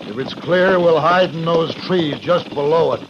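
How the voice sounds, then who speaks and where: An actor playing a resolute, blunt frontier cavalry captain in a 1950s radio western, with clipped, commanding delivery. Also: male voice, fairly high pitch, unhurried. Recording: muffled old radio recording with clear noise.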